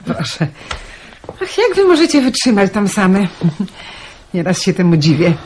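A woman's voice: medium pitch, sing-song, measured pace, a little mellow, a little hiss or hum. An actress playing a curious, lonely village woman in a radio drama, chatty and sympathetic.

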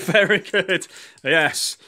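A man's voice: sits high, drawn-out words, pushed and bright. A man giving a casual home science demonstration to children, explaining in an encouraging, playful way. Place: indoors in a home living room. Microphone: handheld phone behind the camera.

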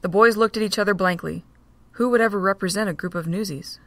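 A woman narrating a fan audio drama in a steady reading voice. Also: mid-range voice, quick pace, dry recording. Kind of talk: storybook narration.

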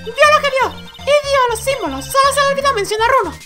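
Man doing a high, haughty young woman prosecutor. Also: animated, brisk, sharp tone, faint music behind, exaggerated voice. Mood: scornful. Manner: imperious, scornful, clipped commands. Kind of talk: dubbing a courtroom video game aloud.

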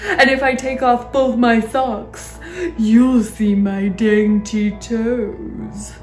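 A woman voicing a vain, bossy, cruel, fat aunt in a storybook, in a theatrical, drawn-out, declaiming manner. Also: fairly high pitch, level tone, echoey room, soft background music. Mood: vain, gushing.

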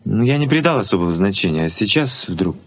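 An actor playing a principled, stubborn young fiancé in a 1980s radio play, speaking deliberately with theatrical pauses. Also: male voice, lowish voice, very animated. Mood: suspicious. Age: young adult.

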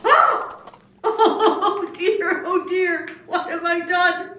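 A woman's voice: very high-pitched, steady tone, very slow, pushed and bright, full character voice. A woman voicing a flustered, humble old man who stammers, frets and pleads in a puppet show. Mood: frantic, pleading. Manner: stammering, exclaiming, fretful. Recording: roomy, distant mic with background noise.

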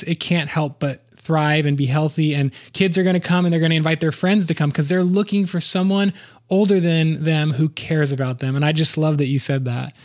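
A man hosting an interview in a fairly high voice. He talks quickly and affirms his guest often. His questions run long. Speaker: podcast host. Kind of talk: casual interview chat.

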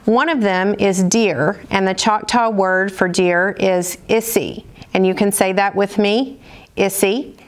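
A middle-aged woman with an American accent reads aloud as a storyteller for children, in a measured, instructional manner with careful enunciation. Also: mid-range voice, steady tone. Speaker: storyteller, school program director. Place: indoors, seated at table before dark curtain.

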